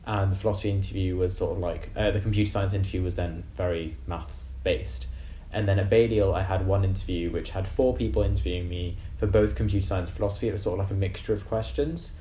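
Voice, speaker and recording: A university student, a man of about twenty from West London, describing his interviews in a measured way with frequent ums. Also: sits low, steady tone, dark tone, echoey room, slight background noise, rough recording. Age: around 20. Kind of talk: casual interview answers.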